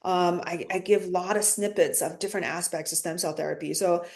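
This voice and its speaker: A woman's voice: fairly low pitch, fairly even intonation, a little fast, vocal fry, darkish tone. A woman physician as a podcast guest, talking casually with many fillers and restarts. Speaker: guest, stem cell physician. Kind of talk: casual interview chat.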